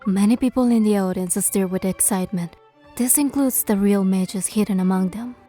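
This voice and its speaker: A woman's voice: medium pitch, normal speed, mellow, soft background music, natural voice. A woman voicing a composed, playful young noblewoman who narrates her fantasy game adventure in a fan dub. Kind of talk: first-person scripted narration and dialogue, fan dub.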